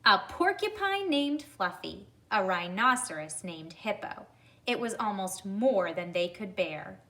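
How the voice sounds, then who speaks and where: A woman reads a picture book to young children. She takes her time, with a mid-range voice and strongly varied intonation, in a deliberate, instructive style. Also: mid-range voice, big pitch swings, bright, forceful tone. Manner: deliberate, addressing young listeners. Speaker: read-aloud storyteller. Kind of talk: expressive children's story reading with questions.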